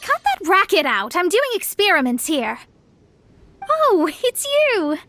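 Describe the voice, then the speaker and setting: A woman's voice: very high voice, huge pitch swings, unhurried, pushed and bright, a little hiss or hum, heavily acted. A woman voicing a proud, formal young female astrologer who flusters easily, in a video game, speaking in an articulate, haughty manner. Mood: brisk.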